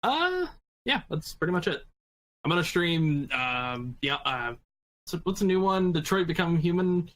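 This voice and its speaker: Higher-voiced man, halting casual chat. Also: sing-song, fairly bright tone. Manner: halting, repetitive, casually profane. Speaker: podcast panelist.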